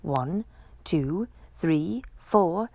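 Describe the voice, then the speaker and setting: A woman reading a children's picture book aloud with expressive, dramatic storytelling. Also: on the low side, drawn-out words, no echo.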